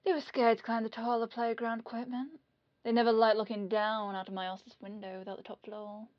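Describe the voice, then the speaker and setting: A woman's voice: a little high, little pitch movement, a little fast, dull audio, lightly acted. A woman playing a clingy rich yandere in an audio roleplay, fluttery and defensive as she feigns innocence. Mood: feigned innocence.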